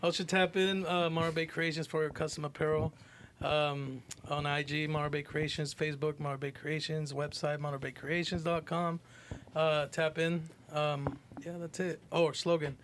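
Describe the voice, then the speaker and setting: A man's voice: on the high side, little pitch movement, moderate pace, fairly bright tone, slight background noise. A man co-hosting a podcast, talking in casual slang, as in chat or sponsor plugs. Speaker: podcast co-host.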